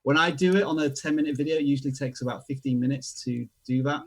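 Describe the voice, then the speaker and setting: Yorkshire male guest, casual and halting, mid-range voice. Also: mid-range voice, husky. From England. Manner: relaxed, hesitant with many fillers, self-deprecating. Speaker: guest expert. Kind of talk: casual online show chat.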